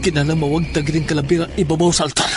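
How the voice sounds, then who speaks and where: A man's voice: a little high, little pitch movement, very fast, lightly acted. A man acting as an intense, commanding character in a horror audio drama, speaking dramatically. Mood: ominous. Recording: noisy, insects chirping behind.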